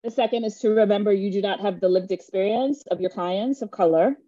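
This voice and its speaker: A woman's voice: medium pitch, a little fast, warm tone, no echo, muffled recording. Earnest woman leading a workshop. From Jamaica. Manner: earnest, emphatic, checks in with listeners. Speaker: workshop facilitator. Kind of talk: teaching a live online workshop.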